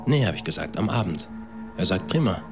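A man's voice: low voice, expressive, fairly quick, vocal fry, music in the background. An actor playing a laconic man telling an anecdote in a colloquial way, in a German radio drama. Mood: laconic, wry. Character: laconic man recounting an evening at a bar.

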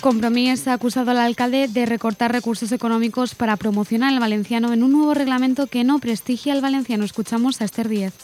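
A woman presents local radio news, her slightly high voice moving fast through the script. Her delivery is brisk and even, recorded cleanly on a studio mic. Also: soft background music.

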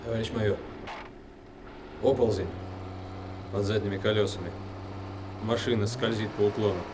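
An actor playing a strained young army corporal, a driver making terse military reports in a radio drama. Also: male voice, fairly low pitch, steady tone, moderate pace, background noise, lightly acted. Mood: anxious. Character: strained young soldier, a corporal driving munitions in danger. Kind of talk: Soviet radio drama acting. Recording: echoey radio drama with vehicle sound.

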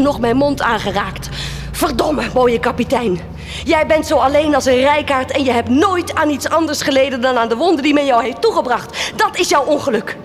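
A woman's voice: high-pitched, a little hiss or hum. An actress playing a proud, compassionate woman of the poor in a Dutch radio play, solemn and impassioned. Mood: bitter, solemn.

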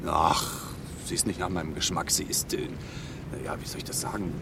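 An actor playing a fussy, nervy refugee in a radio drama, agitated and halting, with a self-important manner. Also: male voice, very deep voice, fairly even intonation, creaky, noisy background. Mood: self-important disdain. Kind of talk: German radio drama dialogue.

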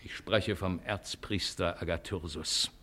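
An actor playing a fiery advocate in a radio play, declaiming bombastic accusations in with rhetorical questions. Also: male voice, sits low, steady tone, unhurried, bright, forceful tone. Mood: indignant, solemn. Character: fiery male advocate accusing priests of corruption. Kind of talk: theatrical courtroom oratory in a German radio play.

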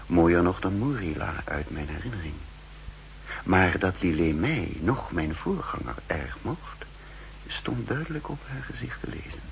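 Low, gravelly spy narrator. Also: male voice, sing-song, exaggerated voice, poor audio. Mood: wry, reflective. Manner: measured, formal, literary storytelling. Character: self-assured male spy posing as a counterintelligence chief, recounting his story. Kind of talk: 1960s Dutch radio drama narration. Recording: 1960s radio recording with hum and noise.

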